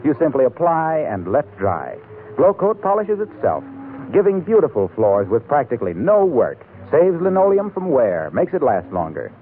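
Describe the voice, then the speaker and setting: Old time radio announcer guy, really lively delivery, tinny noisy recording. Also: a little high, moderate pace, faint music behind. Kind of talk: 1940s scripted sponsor announcement.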